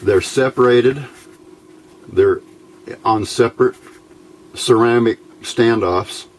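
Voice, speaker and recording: A man giving an informal hands-on electronics tutorial, talking haltingly as he thinks aloud. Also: medium pitch, rough recording. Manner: halting, thinking aloud while handling parts. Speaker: electronics hobbyist presenter.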